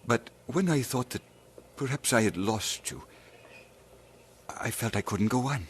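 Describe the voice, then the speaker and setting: An actor playing an earnest young Scottish preacher. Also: male voice, mid-range voice, slight background noise, close to an everyday voice. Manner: gentle, earnest, halting. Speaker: minister proposing marriage. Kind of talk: 1950s radio drama acting.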